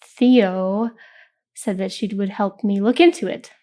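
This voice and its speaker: A woman's voice: medium pitch, steady tone, dark tone. A woman podcast host playing in a tabletop game, playful and teasing. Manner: playful, teasing, quick to laugh.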